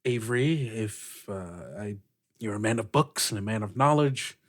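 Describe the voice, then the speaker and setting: A man playing an earnest, weary fantasy sea captain in a halting, deliberate way. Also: lowish voice, lively intonation. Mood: earnest, awkward.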